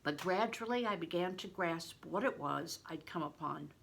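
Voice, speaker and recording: An older woman reading a novel aloud in an expressive, deliberate storytelling manner, stressing words for drama. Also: on the low side, creaky, breathy edge. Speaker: reader, retired reading teacher. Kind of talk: expressive audiobook-style read-aloud of a novel.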